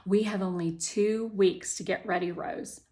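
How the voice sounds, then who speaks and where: A woman reading the part of a brisk, competitive male teacher in a children's story, firm and matter-of-fact. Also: medium pitch, steady tone, warm tone, lightly acted. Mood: businesslike. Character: brisk, competitive male schoolteacher coaching a quiz team.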